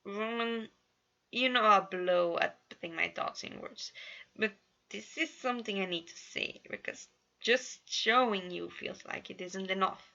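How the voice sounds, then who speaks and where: Woman voicing a creaky, earnest nervous young man. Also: mid-range voice, measured pace, sharp tone, highs cut off.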